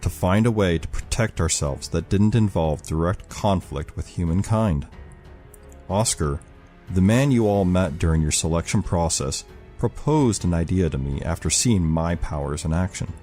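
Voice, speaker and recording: Grave, commanding leader, voiced by a male narrator: low-pitched, formal oratory over faint music. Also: animated, normal speed, mellow. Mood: solemn, resolute.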